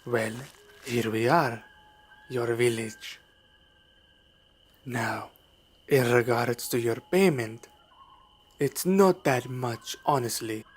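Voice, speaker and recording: A man playing a suave, sinister vampire in an ASMR roleplay, speaking formally and courteously with quiet menace. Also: mid-range voice, takes it slowly, long pauses, slightly rough. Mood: politely evasive. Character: suave, sinister male vampire luring a traveler. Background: night forest ambience.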